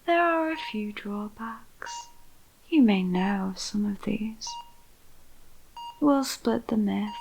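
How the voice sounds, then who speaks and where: A woman playing a clinical female vampire scientist in an ASMR roleplay, with a whispery, breathy voice and deliberate delivery. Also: mid-range voice, lively intonation, darkish tone, slight background noise, low-quality recording. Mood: soothing, sinister. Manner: slow, soothing, deliberate. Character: calm, clinical female vampire scientist overseeing a sinister experiment.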